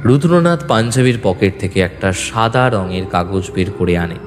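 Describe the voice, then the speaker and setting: A man's voice: lowish voice, animated, normal speed. A man reading scripted dramatic narration as the storyteller of an audio drama. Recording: long reverb, music underneath. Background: background music.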